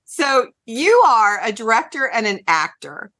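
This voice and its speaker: A woman's voice: a little high, lively intonation, a little slow, sharp tone. An American woman chatting casually as the host of an interview podcast. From Ohio, USA.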